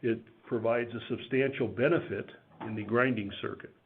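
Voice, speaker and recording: A man giving a measured, matter-of-fact business presentation as a company executive on a conference call, over a phone line. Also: medium pitch, steady tone, breathy edge. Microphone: telephone conference line. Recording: tinny, band-limited phone line.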